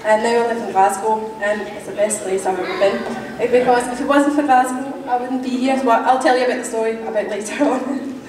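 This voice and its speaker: A young woman gives a short, simple, friendly introduction to a packed hall. Her fairly high voice sounds far off and echoes around the room, with the crowd clearly heard. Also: fairly even intonation, fairly quick. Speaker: panel speaker. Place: large conference hall.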